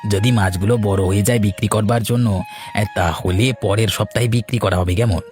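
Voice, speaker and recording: A man acts an easygoing village fish-trading brother in a cartoon. He speaks fast in a slightly low voice, with faint music behind. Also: fairly even intonation, a little mellow, natural voice. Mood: practical. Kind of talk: cartoon character dialogue.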